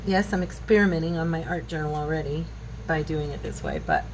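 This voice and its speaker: A woman's voice: low-pitched, a little fast, darkish tone. A woman in her fifties chatting casually through a craft tutorial, rambling and musing aloud as she works indoors. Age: 50s. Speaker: craft channel host. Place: indoor craft table at home. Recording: clearly noisy home recording.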